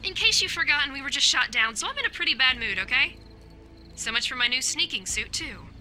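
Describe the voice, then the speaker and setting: Casting: feisty young female soldier, high forceful voice, sarcastic and headstrong, audio drama. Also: lively intonation, a little fast, slight background noise, exaggerated voice. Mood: irritated, sarcastic. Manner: sassy, combative.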